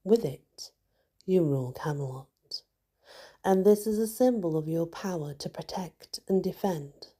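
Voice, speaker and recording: A woman softly whispering a scripted guided meditation in a hushed, soothing ASMR style, with many pauses. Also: fairly low pitch, animated. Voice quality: soft, breathy, mostly whispered.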